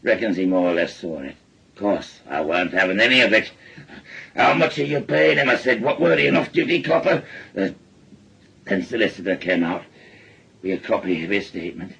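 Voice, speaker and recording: An actor playing a bitter, weary, ailing working-class father with a Northern English accent and a husky, halting delivery in a radio drama. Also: lowish voice, lively intonation, fairly bright tone, heavily acted, rough recording. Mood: bitter, dismissive. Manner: halting, repeating himself. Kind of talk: naturalistic British radio drama acting.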